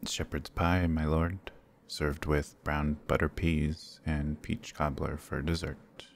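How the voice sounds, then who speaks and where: Man playing a cheerful manservant, low voice, polite, deferential, fantasy ASMR roleplay. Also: flat delivery, slow pace, gravelly, slight background noise, full character voice. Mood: polite, helpful. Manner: deferential, chipper. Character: polite, cheerful male household servant.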